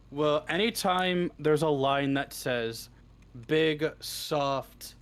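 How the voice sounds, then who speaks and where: A man chatting casually as an interview guest, halting and hesitant as he goes, with plenty of swearing. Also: a little high, fairly bright tone. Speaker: interview guest, script writer. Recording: clearly noisy live stream audio.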